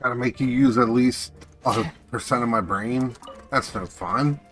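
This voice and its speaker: A man's voice: medium pitch, normal speed, fairly bright tone, soft background music. A man giving casual live commentary as he streams a game.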